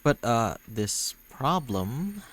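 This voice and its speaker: A man's voice: medium pitch, very animated, drawn-out words, heavy background noise. A man voicing an earnest, sweet, anxious and lovestruck character from a visual novel game. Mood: flustered. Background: background music.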